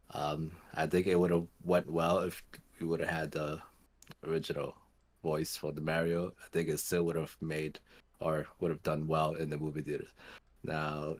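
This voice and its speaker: Very deep-voiced man in casual discussion. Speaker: gaming show co-host.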